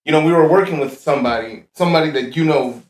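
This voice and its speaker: A young man hosting a podcast, talking earnestly and softly in casual chat. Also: on the high side, fast. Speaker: podcast host. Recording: echoey room.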